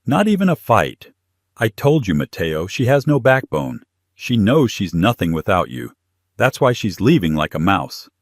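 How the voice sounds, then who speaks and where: A man's voice: mid-range voice, sing-song, measured pace, few pauses, breathy edge, no room sound, studio quality, pitched above the performer's own voice. A man voicing a spiteful, scornful older woman, a sneering mother, in audiobook dialogue. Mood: contemptuous. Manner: scornful, muttering and scoffing.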